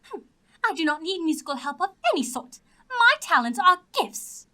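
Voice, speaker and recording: Vain prima donna mare, high, sharp and swooping, dripping disdain in audio drama style. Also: female voice, sing-song, normal speed, heavily acted. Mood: disdainful. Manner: disdainful, theatrical. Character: vain, haughty, scornful female pony opera diva.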